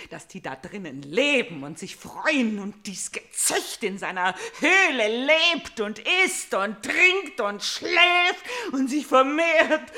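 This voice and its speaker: A vengeful, embittered princess of Greek tragedy in a radio play. Her high voice is bright and forceful. She declaims in standard stage German, impassioned and theatrical. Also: heavily acted. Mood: anguished, brooding. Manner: declamatory, impassioned, with bitter outbursts and whispers.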